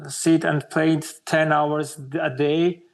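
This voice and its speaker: A man in his thirties from Armenia, speaking English as a second language with an Armenian accent, slowly searching for words with many hesitations as an interview guest. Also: a little high, level tone, creaky. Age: 30s. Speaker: guest artist. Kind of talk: interview conversation.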